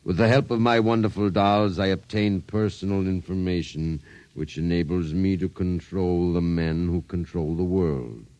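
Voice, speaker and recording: An actor in a 1950s radio drama playing a suave, sinister blackmailer who speaks with courteous, deliberate menace. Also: male voice, sits low, fairly even intonation, a little mellow, no echo, natural voice. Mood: smooth menace. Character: suave sinister doll-shop owner, a blackmailing self-proclaimed genius.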